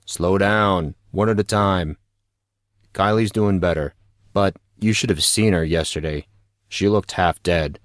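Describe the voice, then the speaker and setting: Audio drama schoolboy, voiced by a man: low, weary, serious and loyal, casual delivery, dry close recording. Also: measured pace, no room sound. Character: teenage boy, troubled, weary, loyal friend.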